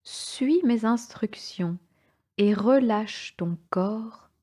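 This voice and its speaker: A woman instructor leading a guided relaxation meditation in a calm, soothing manner, with unhurried pauses between short phrases. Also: a little high. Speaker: yoga nidra instructor.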